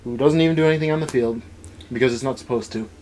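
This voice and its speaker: A man's voice: mid-range voice, very fast, darkish tone. Blunt man ranting over background noise. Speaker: co-host of a card game anime review. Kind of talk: casual opinionated discussion.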